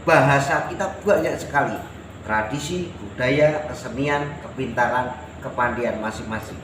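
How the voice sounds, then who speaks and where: A man's voice: medium pitch, measured pace. A man from Java with a Javanese accent delivers a patriotic monologue to viewers in an oratorical, emphatic manner, repeating his phrases. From Java, Indonesia. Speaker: self-styled folk personality addressing viewers. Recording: roomy echo with clear background noise.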